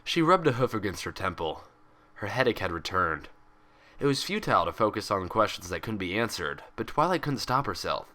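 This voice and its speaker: A man narrates fiction in a fairly low register. His tone is bright and forceful, with the measured phrasing of someone reading prose from a page. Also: big pitch swings. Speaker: fan fiction reader and host. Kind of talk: scripted storybook narration.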